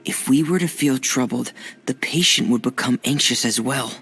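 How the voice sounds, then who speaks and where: Soft, breathy young adventurer. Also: male voice, mid-range voice, moderate pace. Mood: gentle, encouraging. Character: gentle, reserved young male adventurer, earnest and supportive. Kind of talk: video game voice acting.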